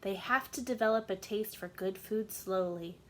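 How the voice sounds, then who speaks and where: A woman voicing a calm, practical male ram doctor from a storybook, giving measured, reassuring advice. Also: medium pitch, a little hiss or hum, lightly acted.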